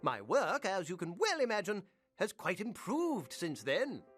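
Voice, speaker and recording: A fussy, unsettling little gentleman shopkeeper from a video game. His oily politeness swoops up and down in fluttery hesitations, with faint music underneath. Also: sits high, wildly swooping pitch, a little slow, heavily acted. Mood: eager, creepy. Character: fussy, creepy male prosthetics shop owner, politely obsessive. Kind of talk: video game character acting.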